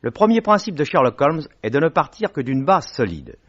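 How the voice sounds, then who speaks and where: A man giving a formal, measured, articulate introduction to a radio drama. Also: fairly high pitch, huge pitch swings. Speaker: presenter. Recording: dull lo-fi old radio recording.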